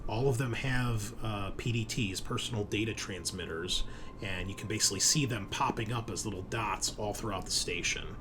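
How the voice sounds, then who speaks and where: Male game master, lowish voice, improvised sci-fi horror narration, conversational and descriptive, slight background noise. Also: moderate pace. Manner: casual, explanatory, descriptive.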